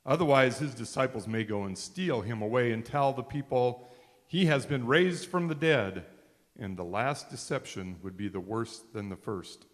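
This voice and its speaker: A man's voice: mid-range voice, moderate pace, close to an everyday voice. A man playing a scornful chief priest in a church readers' theater scripture reading, in a reverberant hall. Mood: formal, urgent. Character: chief priests, scornful, mocking accusers of Jesus. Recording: echoey hall with long reverb.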